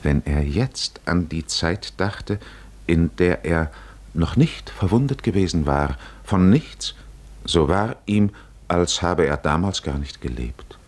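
A man narrating a literary 1950s radio drama in a solemn, measured manner with deliberate pauses. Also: low-pitched, animated.

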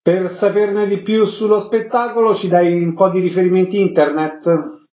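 Guy hosting a radio interview show, highish voice, scripted sounding, slightly muffled audio. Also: fairly even intonation, moderate pace, mellow. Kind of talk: prepared radio interview questions and announcements.

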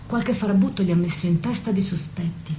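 A woman's voice: mid-range voice, a little fast, warm tone, slight background noise, lightly acted. A woman acting a worldly, loyal confidante in an intimate stage-style drama, blunt, protective and indignant. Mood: reassuring, indignant. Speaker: stage actress. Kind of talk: intimate theatrical radio drama.

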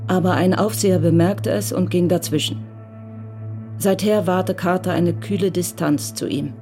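A woman reads scripted narration for a radio drama. Her voice is warm, dark and somewhat low, the delivery measured and matter-of-fact, with soft music faintly behind.

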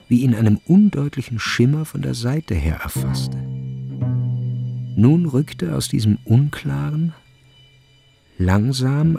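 A man's voice: medium pitch, gravelly, a little mellow. A man reading scripted literary narration in a radio drama.

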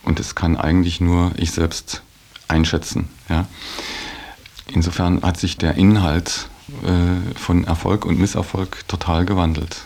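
A man giving reflective interview answers in a hesitant, halting, thoughtful way. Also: low-pitched, level tone, creaky.

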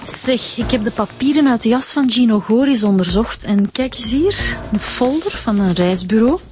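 An actress playing a brisk, matter-of-fact female detective with a Flemish accent in a radio drama. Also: fairly high pitch, expressive, darkish tone, close to an everyday voice. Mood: briefing. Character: Sam, young female detective.

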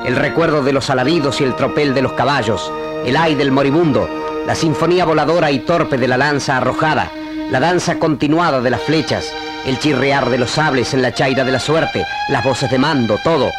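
A man's voice: medium pitch, moderate pace. A man giving solemn, poetic, declamatory narration for a radio drama. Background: music.

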